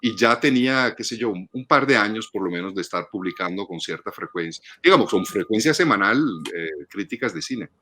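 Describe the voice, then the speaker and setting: A man's voice: lowish voice, lively intonation, brisk, few pauses, sharp tone, slight background noise. A man in his fifties from northern Italy, speaking reflectively in a casual interview and often repeating words as he thinks. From Padua region, northern Italy. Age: 50s. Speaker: guest, film critic and teacher.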